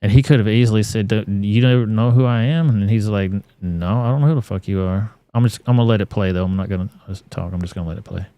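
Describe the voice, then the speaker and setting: Deep, dark-voiced blunt commentator. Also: male voice, fast talker, hardly any pauses, no room sound. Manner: blunt, profane, offhand. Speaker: talk show host. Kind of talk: commentary on clips. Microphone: studio mic.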